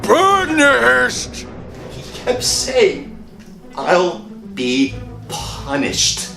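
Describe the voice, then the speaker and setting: A man playing an anguished, guilt-ridden husband in a radio horror drama, halting and agitated. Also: very high voice, huge pitch swings, creaky, sharp tone, heavily acted. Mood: tormented. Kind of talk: old-time radio horror acting. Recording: echoey room, faint music behind.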